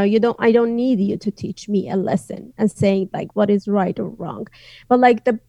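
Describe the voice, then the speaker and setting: A woman's voice: fairly high pitch, dry recording. A woman from northwest Iran whose first language is Azeri, speaking English with an Iranian accent as a podcast guest, hesitant with many fillers and restarts. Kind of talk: casual interview chat.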